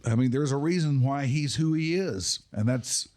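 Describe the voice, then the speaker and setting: Male podcast host, medium-pitched voice. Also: moderate pace, darkish tone. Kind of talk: casual interview chat.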